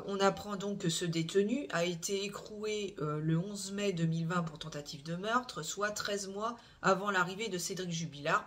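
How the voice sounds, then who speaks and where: A channel host recounting a criminal case to viewers in a measured, explanatory way, with frequent hesitations, filler sounds and restarts. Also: mid-range voice, fairly bright tone. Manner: measured, explanatory delivery with tag "hein". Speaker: true-crime channel host.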